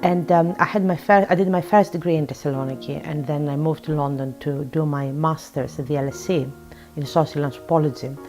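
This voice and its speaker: A woman's voice: low voice, fairly even intonation, fairly quick, a little mellow, faint music behind. A woman in her forties from Greece, an author and psychotherapist, answering interview questions in a reflective way with frequent ums. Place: her office.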